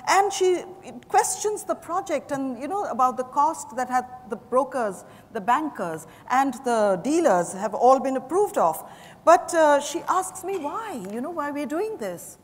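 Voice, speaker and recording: An Indian-accented woman playing a flustered, halting, theatrical older businesswoman in a dramatized stage reading. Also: sits high, lively intonation. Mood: exasperated. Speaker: actor. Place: large hotel ballroom stage, indoors. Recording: echoey hall stage recording.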